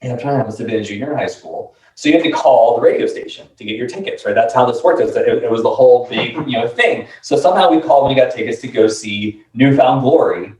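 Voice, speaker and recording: A man's voice: mid-range voice, animated, quick pace, hardly any pauses, darkish tone. A man in his late thirties telling stories in a laid-back, rambling way with lots of fillers, recorded off-mic in a room. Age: 30s. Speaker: band member. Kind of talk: casual chat. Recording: roomy, distant, dull mic.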